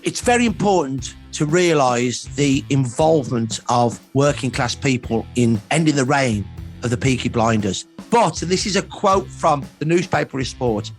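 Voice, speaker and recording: A man's voice: mid-range voice, animated, normal speed. A man giving an emphatic, earnest expert account of history in a documentary interview. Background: background music.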